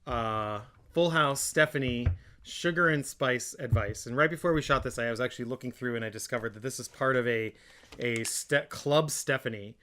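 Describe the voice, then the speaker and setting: A man's voice: medium pitch, normal speed, pushed and bright. A man hosting a show in casual, informal banter with a joking manner. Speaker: show host.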